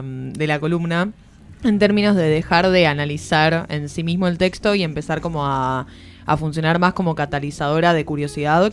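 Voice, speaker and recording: A woman with an Argentine accent giving an informal, explanatory book review as a columnist on a radio show. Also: deep voice. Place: radio studio. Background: music.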